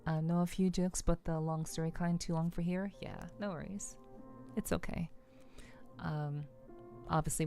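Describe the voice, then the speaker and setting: A woman hosting a livestream, chatting casually and softly with her viewers in a relaxed, friendly way. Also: sits low, vocal fry. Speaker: livestream host.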